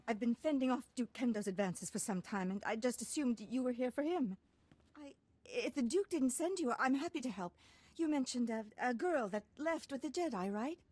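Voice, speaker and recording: A woman's voice: on the high side, darkish tone, slightly rough, no echo. An actress playing a haughty, easily rattled aristocratic lady in a video game, refined and dramatic. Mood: nervous. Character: haughty young aristocratic lady, anxious and pleading when threatened. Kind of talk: video game cutscene acting.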